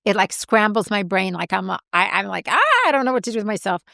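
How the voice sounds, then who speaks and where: Woman co-host, congested and gravelly, animated, dry studio sound. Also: medium pitch, fast talker, no echo. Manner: animated, self-deprecating storyteller. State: congested, clearing throat and coughing. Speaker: podcast co-host. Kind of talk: casual chat.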